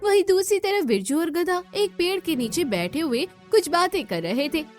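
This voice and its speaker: A woman tells a cartoon story in a very high voice. The delivery is scripted storytelling, with music playing underneath. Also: very animated, moderate pace, a little mellow.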